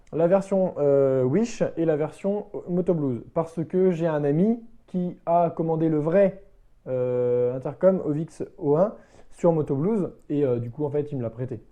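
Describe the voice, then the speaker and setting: A man giving a casual, unscripted product review as a motorbike vlogger, in a hesitant, halting way that circles back to rephrase. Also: a little high, mellow.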